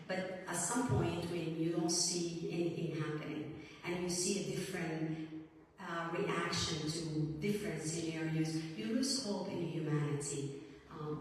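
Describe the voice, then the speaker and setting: A woman from Sudan gives an earnest, halting speech to a live audience as an author and speaker, pausing often with fillers. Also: low-pitched, darkish tone, slight background noise. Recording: echoey hall from far off.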